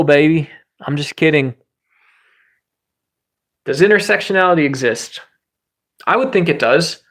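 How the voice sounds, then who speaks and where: A man talking to his livestream viewers at a desktop mic, loose and joking, with some swearing. His voice sits a touch high, with a gravelly fry under it. He rambles from one thought to the next and stops to greet people in chat by name. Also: a bit bright. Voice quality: says his voice is high and squeaky at times. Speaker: livestream host.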